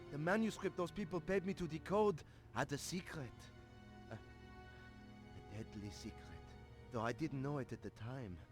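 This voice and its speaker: Frightened male code-breaker, higher-pitched, halting and dramatic, confessional game cutscene over clear music. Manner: halting, stammering, dramatic swings. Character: anxious, excitable male code-breaker fearing for his life. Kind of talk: video game voice acting, recorded confession message.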